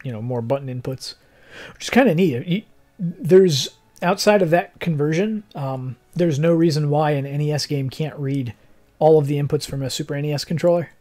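A young adult man who streams games, chatting casually in a rambling, technical way, with a slightly hoarse voice. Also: a little high, moderate pace, a little mellow. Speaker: game streamer. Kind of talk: casual livestream chat. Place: living room, seated in armchair indoors.

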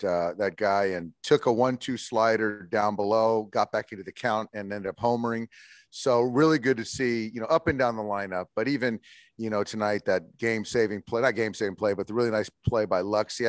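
A man in his forties, a baseball manager answering postgame press questions in a measured way, with many fillers and restarts, heard over a video call. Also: fairly low pitch, dry recording. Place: indoors in front of a logo backdrop.

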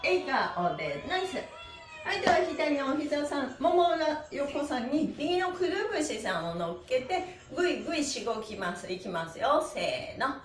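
High, lively woman leading a stretch class. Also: normal speed. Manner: cheerful, encouraging, calling out while moving. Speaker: stretch exercise instructor and host. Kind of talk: guided stretching lesson with rhythmic chanted counting phrases. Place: small bare-walled room indoors. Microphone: camera a few metres away. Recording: roomy echo, distant mic, music.

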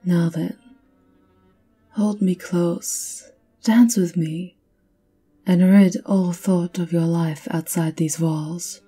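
Lady doing a cruel old vampire countess for asmr, lowish and husky, imperious, teasing, kinda whispery. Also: steady tone, mellow. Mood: seductive, possessive. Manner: imperious, slow, teasing, often dropping to breathy whispers. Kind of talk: ASMR roleplay monologue. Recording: violin music behind the voice.

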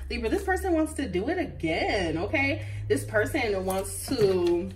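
A congested woman giving a casual tarot reading, chatty and animated, with plenty of ums. Her voice sits a little back from the mic, and faint noise hums underneath. She sounds like she's talking across a table at home. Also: a little high, takes it slowly, recorded from a distance, rough recording. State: congested from a recent flu. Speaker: tarot reader. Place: indoors at a table with crystals.

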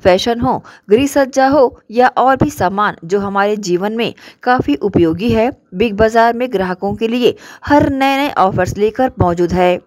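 A woman's voice: a little high, a little slow, hardly any pauses, dry recording. Woman reading a formal news voice-over. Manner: formal promotional delivery. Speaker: news reporter.

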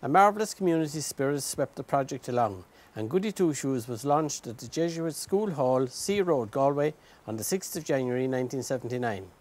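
A man's voice: mid-range voice, lively intonation, fairly quick, few pauses, a little mellow. An Irish man in his sixties reading scripted documentary narration in a promotional, flowery style.

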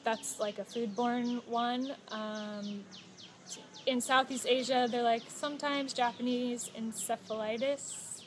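A woman's voice: fairly high pitch, takes it slowly. Chatty woman on a phone livestream. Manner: relaxed, informative, with fillers and little laughs. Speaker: travel vlogger. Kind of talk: casual live chat. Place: outdoors at a home stay patio. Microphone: phone held close. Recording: outdoor noise behind.

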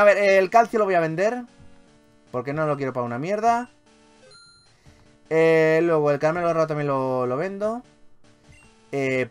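A man with a Spanish accent from Spain commentates on a video game in a casual, expressive style. His voice sits a little high and swings widely in pitch. Game music plays behind him, and his language is informal and salty. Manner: informal, expressive, peppered with swearing. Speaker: gaming YouTuber. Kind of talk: casual Let's Play commentary.

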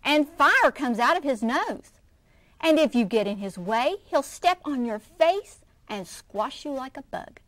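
A woman's voice: big pitch swings, measured pace, dry recording. A woman doing a scared little raccoon boy. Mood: frightened. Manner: high whiny childlike pleading. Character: young boy raccoon cub, scared and pleading.

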